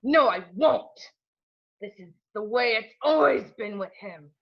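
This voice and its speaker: A man's voice: high voice, animated, unhurried, gravelly, heavily acted. Someone acting a volatile older father, muffled sound. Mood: furious shouting. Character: bitter, volatile older father, a man with a grudge. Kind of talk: amateur table read of a film script. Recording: dull lo-fi home recording.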